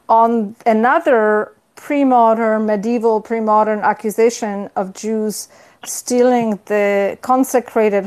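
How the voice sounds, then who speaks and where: A middle-aged woman from Poland with a Polish accent, a professor giving a conversational academic interview in a thoughtful, halting, self-correcting way. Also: a little high, a little mellow. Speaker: history professor, interview guest.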